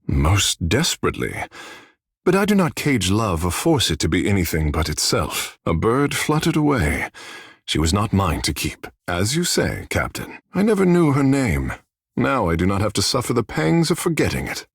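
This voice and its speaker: Low, gravelly, vain fish-man demigod. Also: dry recording, studio quality, heavily acted. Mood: wistful storytelling. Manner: theatrical, boastful, languid storyteller. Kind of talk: fantasy video game character dialogue.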